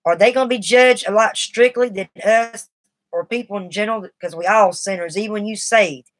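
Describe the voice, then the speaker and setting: A woman's voice: mid-range voice, a little fast. Earnest woman on a live stream. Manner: rambling, earnest and confiding. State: shaky from nicotine withdrawal. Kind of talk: casual live stream talk.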